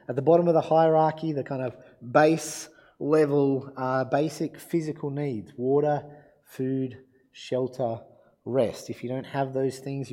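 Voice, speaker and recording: A man preaching a sermon and reading scripture aloud, his voice croaky from being unwell, relaxed and self-deprecating. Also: medium pitch. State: croaky from illness.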